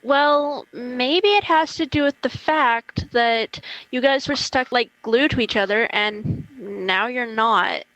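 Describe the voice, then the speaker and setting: Young woman acting inquisitive friend, fairly high voice. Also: slow pace, hardly any pauses, fairly bright tone, no echo, low-quality recording. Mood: curious. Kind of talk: amateur radio play acting.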